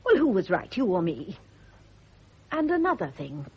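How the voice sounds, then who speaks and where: Bitter nurse in old radio play, very husky, voice swings all over, indignant. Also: female voice, mid-range voice, wildly swooping pitch, moderate pace, full character voice. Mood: righteous accusation. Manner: indignant, insinuating, emphatic. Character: bitter, suspicious, righteous woman nurse, scornful of men. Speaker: private nurse. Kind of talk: 1970s radio drama acting. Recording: dull lo-fi old radio recording.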